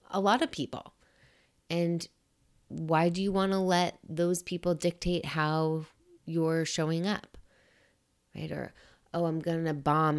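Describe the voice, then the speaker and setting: A woman podcast host with a scratchy, slightly raspy and nasal voice, talking in a gentle, encouraging and reflective way, often checking in with "right?". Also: fairly low pitch. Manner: gentle, encouraging, thinks aloud with frequent "right?". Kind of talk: reflective motivational talk.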